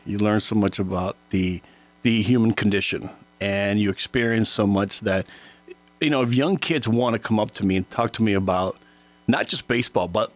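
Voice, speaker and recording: A man in his fifties, from Cuba, chatting as a guest on a radio show, relaxed, laughing easily and stammering on his starts. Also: lowish voice, dry recording. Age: 50s. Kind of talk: casual radio interview.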